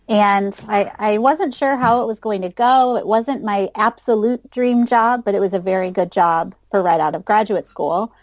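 A woman's voice: mid-range voice, fairly even intonation, moderate pace, few pauses, no room sound. An American woman in her thirties giving casual answers as an interview guest. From United States, north of Chicago. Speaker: guest, psychology professor.

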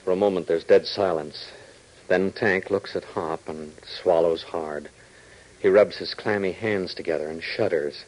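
A man's voice: low voice, fairly even intonation, unhurried, a little mellow, low-quality recording. A man with an American accent reading scripted 1940s radio narration in a polished, dramatic announcer's delivery.

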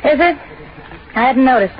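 An actress playing a bored, bitter and seductive American housewife, sardonic and blunt, in a 1940s radio drama. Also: female voice, high voice, expressive, normal speed. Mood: flippant, bored. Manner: sardonic, blunt, world-weary. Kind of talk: 1940s radio noir acting. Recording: noisy, muffled old 1940s radio recording.

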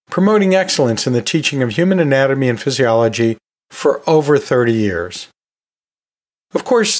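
A middle-aged or older man hosting an educational podcast, talking conversationally and emphatically, with pauses and rhetorical asides. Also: mid-range voice. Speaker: podcast host and college instructor.

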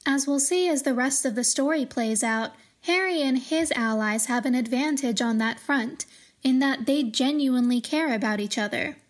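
A woman hosting a podcast, giving measured, articulate commentary on a fantasy book series in a scripted, explanatory style. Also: high voice. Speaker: podcast host.

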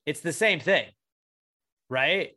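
A man's voice: on the high side, takes it slowly. A man, hosting a casual podcast chat and joking around. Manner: joking, animated, laughs often. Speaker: podcast host.

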